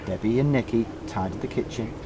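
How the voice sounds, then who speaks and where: A man's voice: medium pitch, a little fast. Man reading a children's story, noisy background. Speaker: storybook reader. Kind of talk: children's story read aloud.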